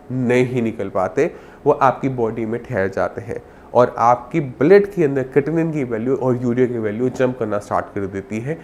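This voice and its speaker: A man's voice: medium pitch, darkish tone. Man with a cold, background noise. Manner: instructive, addresses viewer directly with simple examples. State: sore throat and a cold. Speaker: doctor presenting health advice. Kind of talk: explanatory health advice to camera.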